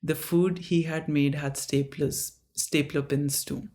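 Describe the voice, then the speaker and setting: A storyteller reads their own dark fiction aloud at an unhurried, deliberate pace. The reading stumbles and restarts now and then. It breaks off into casual, self-mocking asides between passages. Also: mid-range voice, fairly even intonation. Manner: slow, halting reading broken by chatty asides.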